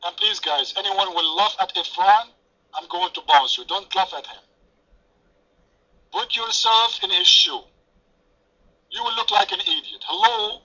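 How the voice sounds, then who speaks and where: Sarcastic high-pitched guy running a voice chat debate, french first language, bright piercing tone, muffled internet audio. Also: a little slow, long pauses. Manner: taunting, sarcastic. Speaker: debate room moderator. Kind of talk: mocking online debate.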